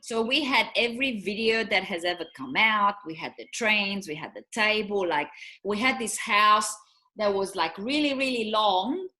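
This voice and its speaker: A woman's voice: medium pitch, moderate pace, bright, forceful tone. A middle-aged woman from Romania with a Romanian accent, co-hosting a casual chat and telling stories with frequent laughs. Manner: chatty storyteller, laughs often. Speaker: co-host.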